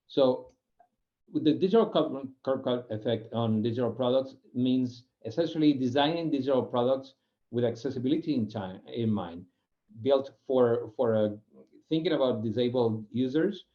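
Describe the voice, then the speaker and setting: A man with a Spanish accent explains design ideas in a loose, unscripted talk, his pitch sitting in the middle range. He often breaks off and restarts his sentences while he hunts for the right word. The recording sounds a little dull and muffled, like online talk audio. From Spain. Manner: halting, restarts phrases, searches for words. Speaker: UX program manager giving a guest talk. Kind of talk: informal explanatory presentation.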